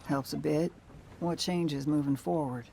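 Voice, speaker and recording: An actress playing a weary, guarded bounty hunter in a video game, dry and plain-spoken, with a gravelly voice. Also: female voice, deep voice, fairly even intonation, a little slow, dark tone, slight background noise, rough recording. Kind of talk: naturalistic video game acting.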